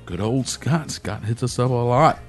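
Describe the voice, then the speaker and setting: A man's voice: medium pitch, normal speed, breathy edge, soft background music. A man hosting a podcast, chatting casually in a laid-back, rambling way and swearing now and then. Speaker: podcast host. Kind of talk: casual chat.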